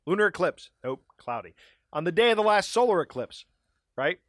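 A man from Maryland co-hosting a casual podcast, ranting in an animated way with frequent laughs, his voice starting to go. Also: high-pitched, moderate pace, dry recording, clean studio sound. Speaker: podcast co-host.

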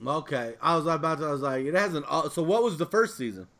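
A man hosting a podcast, chatting casually in an informal, halting way. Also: on the high side, expressive, a crowd in the background. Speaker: podcast host. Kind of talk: casual chat.

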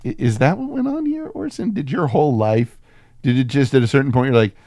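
A man's voice: mid-range voice, expressive, brisk, warm tone, no echo, clean studio sound. A man co-hosting a film podcast in casual comedic banter, irreverent and sweary, slipping into mock voices as he riffs. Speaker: podcast co-host reviewing films.

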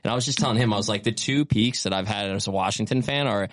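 A man's voice: lowish voice, fast talker, fairly bright tone, no room sound. A man co-hosting a casual sports chat, with dry, rueful humor. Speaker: podcast co-host.

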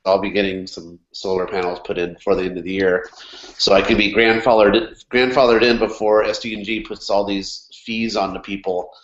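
Male co-host, low-pitched, nasal and stuffy from a cold, rambly delivery full of "uh"s, echoey dull recording. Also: steady tone, brisk. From California. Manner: rambling with many fillers and restarts. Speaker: podcast co-host. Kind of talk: casual chat. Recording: echoey room, dull lo-fi sound.

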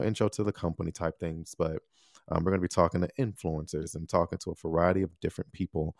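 Low gravelly man musing aloud. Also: a little mellow, no echo, studio quality. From United States. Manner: conversational, frequent "you know" and "right?" tags. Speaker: podcast host. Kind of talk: casual solo podcast monologue.

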